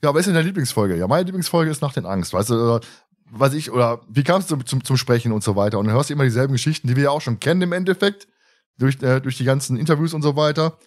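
A man with a mid-pitched voice hosts a casual fan podcast. He talks informally and rambles, often doubling back to repeat a word or phrase. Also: animated, fast talker. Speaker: podcast host.

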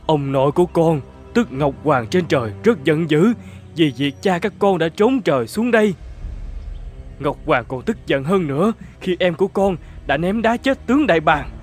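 A man playing a kindly old earth god in an animated fairy tale, speaking solemnly. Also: on the high side, moderate pace, faint music behind, lightly acted.

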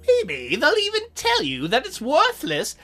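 Visual novel demon girl, played by a woman: high and bright, shy, lonely, earnest, stammering, music underneath. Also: wildly swooping pitch, a little slow, full character voice. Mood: confessing. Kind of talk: visual novel character read aloud.

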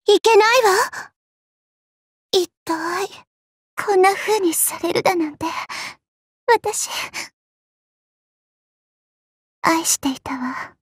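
A woman's voice: sits high, a little mellow, no room sound, clean studio sound. An actress playing a dainty, girlish goddess in an anime game, elegant, coy and teasing, with polite ladylike phrasing. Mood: pained, fading. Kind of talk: anime mobile game battle voice lines. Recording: game audio with music behind.

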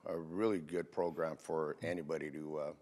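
A middle-aged man giving casual, plainspoken testimony, halting with many filled pauses, into a handheld microphone. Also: very deep voice, flat delivery, a little mellow. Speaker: recovery group leader giving testimony. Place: indoors, dim church stage.

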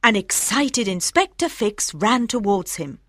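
A woman reading scripted storybook narration for language learners, with careful, expressive enunciation. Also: medium pitch, unhurried, no echo, studio quality. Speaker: audiobook narrator.

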